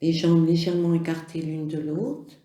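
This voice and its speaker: A yoga nidra instructor guiding a relaxation meditation in gentle, measured phrases with pauses. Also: mid-range voice, level tone, roomy sound. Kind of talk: slow guided relaxation meditation.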